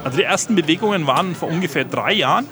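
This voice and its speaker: A man's voice: a little high, expressive, very fast. A man with a Franconian German accent, answering interview questions casually and hesitantly, with many filler sounds. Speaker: guest. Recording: loud crowd chatter behind.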